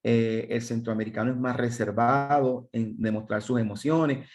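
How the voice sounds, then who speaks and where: An emphatic man with a Puerto Rican accent, running a training seminar for pastors. He counts off his points one by one and keeps turning questions back on the listeners, at a middling pitch. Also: steady tone, normal speed, a little mellow. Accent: Puerto Rican Spanish. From Puerto Rico. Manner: emphatic, lists points and asks the audience questions.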